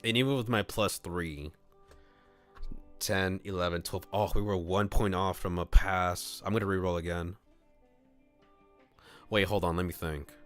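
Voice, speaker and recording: A man on a livestream reading a visual novel aloud, mixing scripted narration with casual asides where he thinks out loud. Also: sits low. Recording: music playing behind the voice. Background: clear background music.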